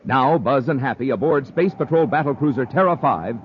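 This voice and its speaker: A man's voice: mid-range voice, animated, brisk. A man announcing in scripted 1950s radio style, with emphatic, punchy delivery. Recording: muffled vintage radio with faint noise.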